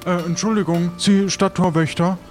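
A man improvising the narration of an adventure audio play, halting as he searches for words. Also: high-pitched, fairly even intonation, a little mellow, faint music behind. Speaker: game narrator and player.

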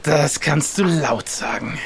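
A man's voice: on the high side, slow pace, gravelly, a little hiss or hum. A man playing along in an improvised adventure audio play, acting and thinking aloud in the first person. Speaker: player in an improvised pirate adventure.

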